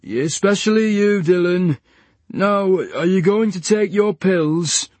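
A man voicing a calm, patronizing male hospital carer who speaks slowly and soothingly. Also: high-pitched, dry recording, voice pushed up.

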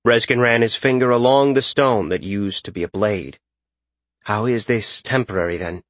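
A man's voice: on the low side, moderate pace, no echo, lightly acted. Man as a terse, unruffled warrior. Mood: curious. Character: cool, commanding male warrior, unruffled and wry. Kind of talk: audiobook character dialogue.

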